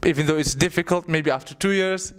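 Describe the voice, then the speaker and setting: Young male teacher, high voice, animated, repeats himself mid-thought, classroom Q&A. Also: fairly quick. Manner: animated, repeats words while thinking. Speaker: English teacher. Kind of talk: classroom question-and-answer.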